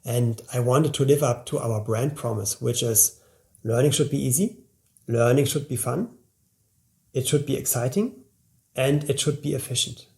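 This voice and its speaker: Male presenter, 40s, for a motivational promotional narration. Mellow timbre with noticeable fry, talks straight to the listener. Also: medium pitch, moderate pace. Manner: motivational, direct address to the listener. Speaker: presenter promoting his language course.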